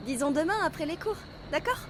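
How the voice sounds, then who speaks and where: Woman voicing a wistful ghost schoolgirl, high voice, soft evasive manner, noisy backdrop. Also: expressive, brisk. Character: gentle mysterious schoolgirl ghost. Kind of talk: French audio drama.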